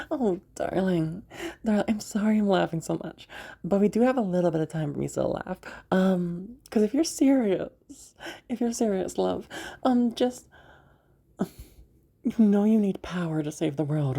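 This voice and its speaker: A woman performs a magical woman rescuer in a fantasy roleplay, teasing and full of amusement. Her voice is low and mellow with a husky grain, and she talks playfully with hesitant pauses. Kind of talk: roleplay audio drama.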